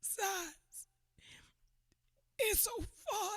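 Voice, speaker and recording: A woman playing a grieving, pregnant widowed mother in the rural South, slow and pleading, in a radio theater play. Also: very high voice, fairly bright tone, heavily acted. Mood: desperate pleading.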